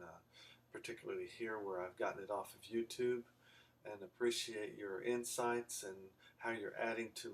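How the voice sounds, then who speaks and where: A man raised in Belgium, giving an informal explanatory lecture in a halting, deliberate way with long pauses. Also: lowish voice, far from the mic. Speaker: amateur Bible scholar giving a video response.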